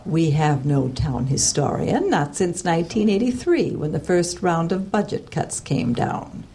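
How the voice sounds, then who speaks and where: Stern, husky old woman. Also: sits low, animated, a little slow, dark tone, exaggerated voice. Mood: curt, guarded. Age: 60s. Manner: clipped, haughty, impatient, schoolmarmish. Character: stern, prickly old woman researcher at small-town library. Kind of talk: radio drama acting.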